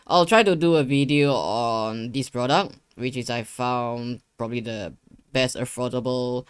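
A young man giving a casual software tutorial, talking himself through each step in an informal, rambling way. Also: mid-range voice, measured pace, smooth, no room sound. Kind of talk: casual screen-share tutorial. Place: indoor living room gaming setup. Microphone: close desktop USB microphone.

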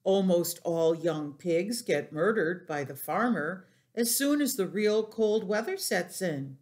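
A woman's voice: on the low side, animated, slow pace, darkish tone. A woman voicing a world-weary old ewe in a storybook, blunt and snappish. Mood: grim warning. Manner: matter-of-fact, snappish.

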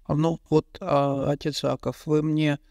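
A middle-aged interviewer with a gravelly yet resonant voice, pitched a little high, gropes for his words with frequent fillers. The sound is dry and close, taken from a studio mic on a boom. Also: flat delivery, a little slow, a little mellow, dry recording. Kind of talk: interview questions. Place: bright indoor room, interview set.

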